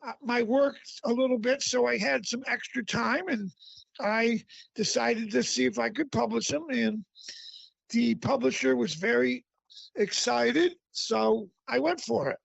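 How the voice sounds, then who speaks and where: Raspy middle-aged guy, rambling with lots of uhs, bit muffled lo-fi sound. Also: very high voice, dry recording. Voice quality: raspy, gravelly. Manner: relaxed, halting with fillers. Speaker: author guest. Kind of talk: casual interview.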